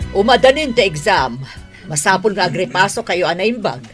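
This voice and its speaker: A woman acts a strict Filipino schoolteacher in a radio drama. The voice is mid-pitched and swings widely as it admonishes, over faint music. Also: sing-song, a little fast, natural voice. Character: strict schoolteacher warning pupils about exams.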